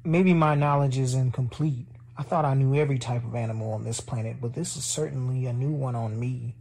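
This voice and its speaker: Careful middle-aged scientist, quiet and measured, mid-pitched, voiced by a male narrator. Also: gravelly. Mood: puzzled. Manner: measured, soft-spoken.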